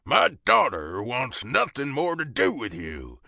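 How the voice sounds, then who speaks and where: A man plays a stern, protective older father from an audiobook drama. He speaks with a Southern US accent in a biting, commanding way, full of contempt. His pitch swings widely, and the sound is close and dry. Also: huge pitch swings, a little slow, vocal fry, sharp tone, no echo, exaggerated voice. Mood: angry warning.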